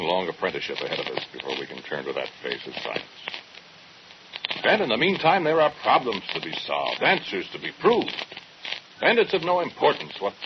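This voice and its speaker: Casting: arrogant, dismissive scientist, lecturing delivery with big melodic swings, on a muffled, noisy old radio recording. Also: male voice, sits low. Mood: detached, lecturing, then fervent, insistent. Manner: pompous, lecturing, clipped dismissals. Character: cold, arrogant scientist, dismissive and obsessed with knowledge. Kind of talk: mid-century radio drama acting.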